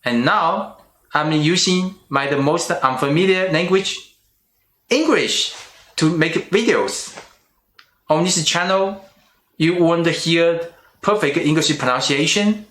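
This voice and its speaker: Middle-aged guy w chinese accent, kinda high voice, talks real slow n careful. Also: fairly bright tone. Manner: slow and careful, with restarts on small words. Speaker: channel host. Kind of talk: personal vlog monologue.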